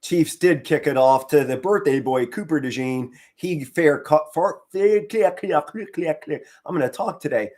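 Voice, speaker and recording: Hoarse middle-aged male fan commentator. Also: moderate pace, hardly any pauses. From Pennsylvania. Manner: subdued and low-key, with bursts of excitement. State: hoarse, straining to save his voice. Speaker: fan commentator on a live stream. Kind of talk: casual live play-by-play commentary.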